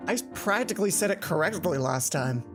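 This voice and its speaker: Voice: medium pitch, animated, brisk, music in the background. A let's play host reading a visual novel aloud with casual commentary, stumbling over words and interrupting the narration.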